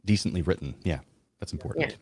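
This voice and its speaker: A man's voice: low-pitched, sing-song, quick pace. A man who is a voice actor, chatting casually and laughing often as an interview guest. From American South.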